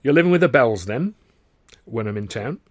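A jovial, touchy middle-aged impresario, voiced by a man reading a story. He hems and haws, then snaps, his pitch leaping about. The voice is a little husky and close and dry. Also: expressive, normal speed, no room sound, close to an everyday voice. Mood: probing. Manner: blustering, hesitant then snapping.

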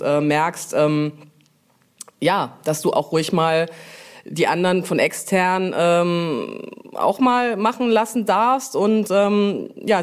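A middle-aged woman talking casually and explaining things as an interview guest, often feeling her way through sentences. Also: fairly low pitch. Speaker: brand manager and interview guest.

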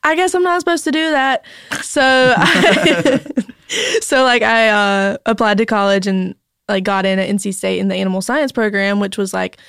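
Giggly young woman, creaky smooth voice. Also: mid-range voice, fairly even intonation, moderate pace, fairly bright tone, dry recording, studio quality. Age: young adult. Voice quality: mezzo-soprano singer. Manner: chatty, lots of "like", giggly. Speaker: podcast guest, singer-songwriter. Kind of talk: casual interview chat.

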